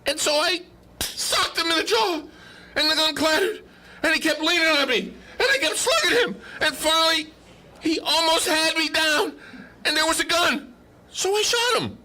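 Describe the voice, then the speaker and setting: Nervous, pleading bookkeeper, radio drama. High-pitched, very sharp voice, stammering out excuses. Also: male voice, moderate pace, full character voice. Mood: frantic, pleading. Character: panicky bookkeeper nervously confessing to a shooting. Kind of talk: radio play crime story acting.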